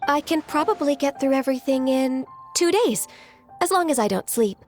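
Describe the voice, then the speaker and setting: Gentle, high-voiced young assistant. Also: female voice, animated, normal speed, soft background music. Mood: helpful. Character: gentle, diligent young half-beast woman secretary. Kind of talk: anime video game voice acting.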